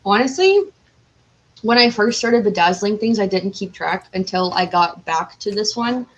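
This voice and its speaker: Woman guest on a podcast, medium pitch, super casual and enthusiastic chat. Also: expressive, moderate pace, lo-fi sound. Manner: enthusiastic, informal.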